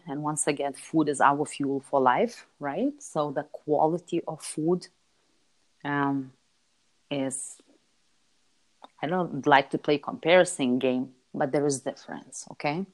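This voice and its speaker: Female interview guest, deep register, Lithuanian accent, informal and conversational, emphatic repetition. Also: poor audio. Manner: informal, repeats words for emphasis, seeks agreement with tags. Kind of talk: casual conversational interview answers.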